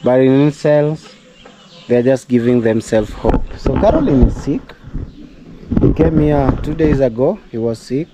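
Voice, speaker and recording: A man's voice: mid-range voice, a little slow, heavy background noise. A young Kenyan man with a Kenyan accent, chatting casually to his viewers in a home vlog, speaking English as a second language and sometimes slipping into prayerful encouragement. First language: not English. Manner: chatty, preachy, addresses viewers as guys. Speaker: vlogger. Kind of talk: casual vlog talk to camera. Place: outdoors at a rural home.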